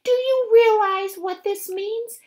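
A woman voicing an excitable, greedy middle-aged mother who keeps exclaiming. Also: slow. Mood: gleeful. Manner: shrill and exclaiming.

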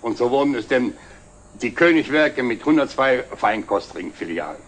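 An actor playing a jovial, self-made older company director, giving a proud, deliberate speech in a 1960s German TV drama. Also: male voice, mid-range voice, animated, lightly acted. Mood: wry, proud. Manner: expansive, deliberate speechmaking with wry chuckles. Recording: slightly noisy old 1960s TV soundtrack.

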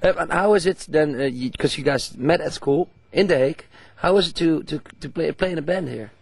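A man's voice: on the high side, brisk, creaky, slightly rough. A man interviewing a band with a handheld mic, chatty and loose, switching between Dutch and English. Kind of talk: casual interview. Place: indoor festival lounge with posters.

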